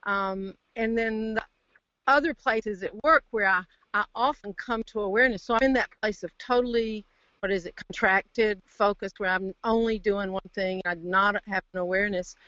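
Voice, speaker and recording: A woman calling in to share casual reflections, halting and searching for words, over a phone line. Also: mid-range voice, bright, forceful tone, no room sound. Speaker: caller to a group call. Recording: dull dry phone line.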